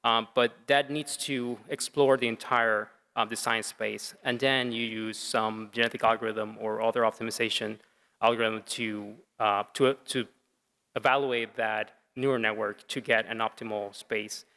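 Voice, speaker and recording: A man's voice: on the low side, little pitch movement, moderate pace, bright, forceful tone. Man explaining with ums, hall echo. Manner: explanatory, frequent "um". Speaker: student team member. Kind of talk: answering jury questions.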